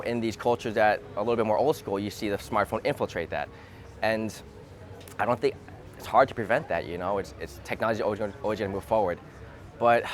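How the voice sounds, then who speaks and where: A man from the United States talking through his views in a relaxed conversation, hesitant and repeating words as he thinks aloud, recorded outdoors. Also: lowish voice. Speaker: interviewee. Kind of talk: thoughtful casual conversation. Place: outdoors in a city.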